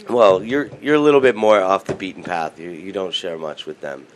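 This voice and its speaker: A man's voice: deep voice, fairly quick, a little hiss or hum. A male radio host in casual chat, rambling and jokey, often restarting his sentences.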